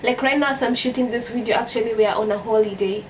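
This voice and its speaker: A woman's voice: a little high, steady tone, fast talker. A young woman from Kenya with a Kenyan accent, chatting casually as a vlogger and repeating phrases for emphasis. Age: young adult. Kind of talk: casual storytime chat to camera. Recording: distant mic with background noise.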